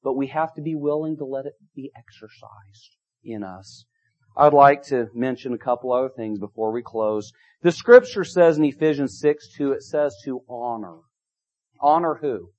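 A man's voice: mid-range voice, normal speed, a little mellow. Emphatic middle-aged male preacher, lo-fi. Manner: emphatic, repetitive preaching with rising and falling volume. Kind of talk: sermon. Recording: dull lo-fi sound.

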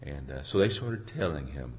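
A hoarse man preaching an informal sermon in a halting, folksy way, searching for his words and clearing his throat. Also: very deep voice, expressive. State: hoarse from a cold. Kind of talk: informal sermon with congregation responses.

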